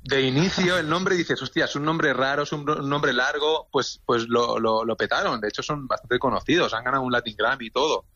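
A man's voice: mid-range voice, a little fast, few pauses, pushed and bright. A man chatting casually as an interview guest over a dull lo-fi connection. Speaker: interview guest, design professional.

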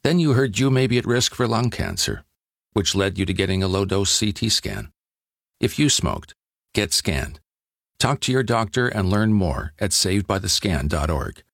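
Brief: very deep gravelly male narrator, dry close read for a health ad. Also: very animated, normal speed, a bit bright, no echo. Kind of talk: scripted public service ad.

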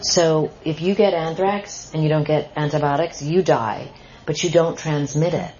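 A woman's voice: sits low, moderate pace, darkish tone, a little hiss or hum. A woman author answering audience questions at a book talk, explaining points emphatically with frequent restarts. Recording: dull lo-fi live event recording.

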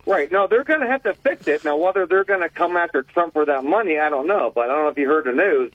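A man's voice: animated, fast, breathy edge, no room sound. Older man calling in on a tinny phone line. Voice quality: deep voice. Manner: blunt, rambling, folksy. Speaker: caller. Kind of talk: casual call-in chat.

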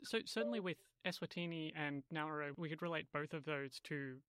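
A man's voice: fairly high pitch, fairly quick, fairly bright tone, smooth, no room sound. A man working as a radio reporter, narrating from a script and putting questions to a guest.

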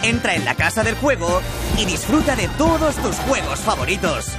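Lively female advert announcer over loud music. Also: medium pitch, moderate pace.

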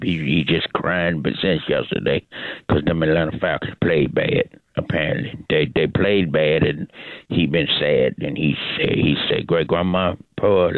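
A man's voice: low-pitched, no room sound, phone-quality sound. A man voicing a cantankerous old great-grandmother on the phone, rambling, repeating herself and cussing. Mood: mournful. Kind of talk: prank phone call comedy bit.

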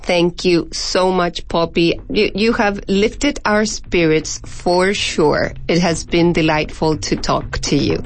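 A woman's voice: lowish voice, slow, hardly any pauses. A woman from Argentina, talking conversationally as an interview guest. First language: Spanish. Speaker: empanada shop owner, interview guest.

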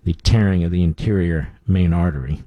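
A man's voice: deep voice, brisk, dry recording, muffled recording. A man hosting a talk radio show, giving blunt, scornful commentary with frequent fillers.

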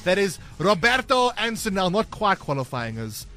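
Man, radio host, bright clear tone, slightly high voice, teasing patter. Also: very animated, soft background music. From South Africa. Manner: chirpy, teasing, quick patter. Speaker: radio breakfast show host. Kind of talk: upbeat radio game segment.

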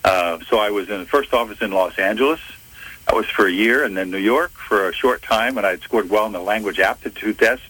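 An older man from Philadelphia, a retired FBI agent, answering interview questions in a measured way, often correcting himself. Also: fairly low pitch, sharp tone, breathy edge, low-quality recording. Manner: measured, self-correcting storyteller. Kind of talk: interview answers, recounting career.

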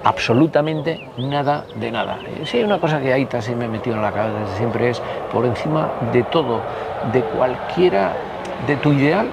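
Gravelly man, noisy street. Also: mid-range voice, normal speed. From Basque Country. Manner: rambling, digressive, peppered with casual swearing and "y tal". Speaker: interview guest. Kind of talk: casual conversational storytelling. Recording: noisy outdoor street with traffic. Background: traffic and city ambience.

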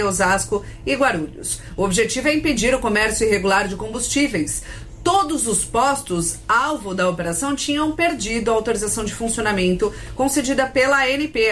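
Female, Brazilian accent, radio reporter, scripted news, higher pitch, faint background noise. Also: fairly bright tone.

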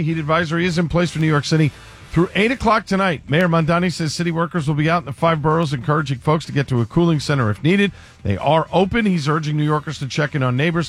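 A man anchoring a local radio newscast, reading scripted headlines in a brisk, even way. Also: on the high side. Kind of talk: radio news read.

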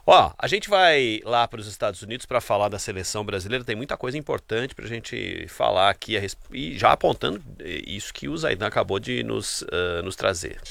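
A man from Brazil hosting a sports broadcast, lively and announcer-like. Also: fairly low pitch, a little fast, vocal fry, hardly any pauses, slight background noise. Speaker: sports show host. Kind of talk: radio sports anchoring.

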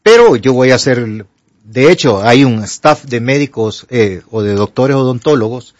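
An older man with a Honduran Spanish accent, a doctor on a radio call-in show who gives health advice in an emphatic, animated way. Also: medium pitch, unhurried, dry recording, lo-fi sound. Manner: animated, emphatic, rises to pleading.

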